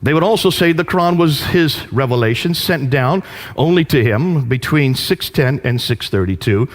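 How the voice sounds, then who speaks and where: A man giving a lecture to an audience, telling a story with animated delivery and rhetorical questions. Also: mid-range voice, moderate pace.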